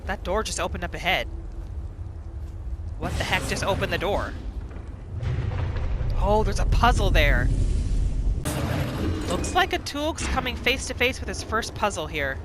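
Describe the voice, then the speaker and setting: A woman hosting a roleplay gaming video, giving casual, expressive commentary as she plays. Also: mid-range voice, measured pace, pauses often, noisy background. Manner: expressive, reactive. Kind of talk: casual let's play commentary mixed with in-character roleplay. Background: game music.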